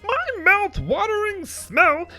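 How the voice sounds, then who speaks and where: A woman's voice: very high-pitched, big pitch swings, slow pace, pushed and bright, soft background music, full character voice. A woman voicing an indignant, easily exasperated little latke in an emphatic storybook reading, lecturing and prone to shrieking. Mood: insistent lecturing.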